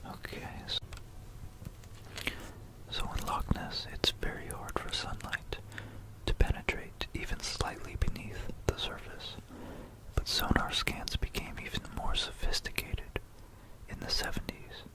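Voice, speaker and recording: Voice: unhurried, low-quality recording. An ASMR presenter narrating history in a whisper, musing aloud between passages, close to a stereo microphone. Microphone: close stereo binaural microphone.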